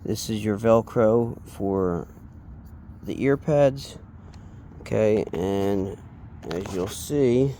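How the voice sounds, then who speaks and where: A man giving a casual, unscripted hands-on tutorial, speaking haltingly and trailing off as he works with his hands. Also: lowish voice, mellow, slight background noise. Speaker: DIY installation demonstrator.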